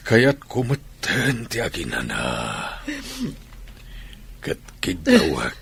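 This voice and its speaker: A man playing a frail, weary, tender dying father in an Ilocano audio drama, with a gravelly voice. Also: deep voice, huge pitch swings, slow pace, fairly bright tone, full character voice. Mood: weak, resigned.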